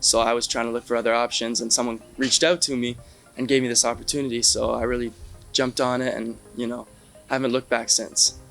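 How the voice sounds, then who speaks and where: A young man with a level, mid-pitched voice answers questions in a relaxed, conversational way. His delivery stays flat and even, and he keeps checking in with a casual "right?". Faint music plays softly behind him. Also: fairly quick, gravelly. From Canada, Ontario. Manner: easygoing, tags many lines with "right?". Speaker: interview guest, baseball catcher.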